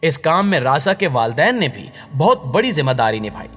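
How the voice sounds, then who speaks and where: A man's voice: fairly high pitch, big pitch swings, fairly quick, fairly bright tone. A man reading a scripted dramatic story as a voice-over narrator. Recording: long reverb on the voice. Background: background music.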